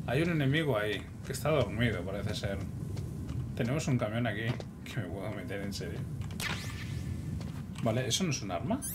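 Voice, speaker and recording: A man with a Spain Spanish accent giving casual, excitable commentary while he plays a game. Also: mid-range voice. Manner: chatty, excitable, talks to himself. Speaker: gameplay streamer. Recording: noisy recording.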